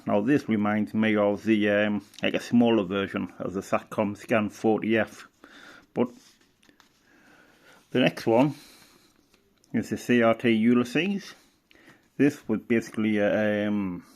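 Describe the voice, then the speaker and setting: A middle-aged man giving a casual, rambling show-and-tell as a hobbyist, hesitant and thinking aloud. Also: fairly low pitch. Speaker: radio hobbyist presenter.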